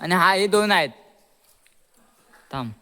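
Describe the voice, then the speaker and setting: A young man vlogging in casual chat, excitable and often repeating words and names as he comments on a game. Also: sits high, slow, in a large hall. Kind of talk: casual chat and play-by-play of street games. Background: group of boys playing.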